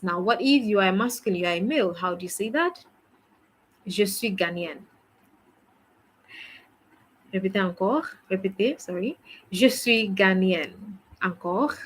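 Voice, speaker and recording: A young woman from Ghana leads a French drill at an unhurried, measured pace. She says short phrases and has the listener repeat them. Her voice sits at an ordinary middle pitch. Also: a bit bright. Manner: patient, repeats phrases for the learner to echo. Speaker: French teacher. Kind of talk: slow, drilling language lesson.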